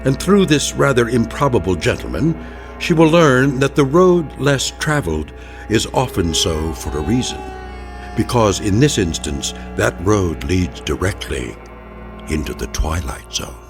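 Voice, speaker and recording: An actor as a measured, ominous male narrator of an uncanny anthology drama. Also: on the low side, sing-song. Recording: clear music behind.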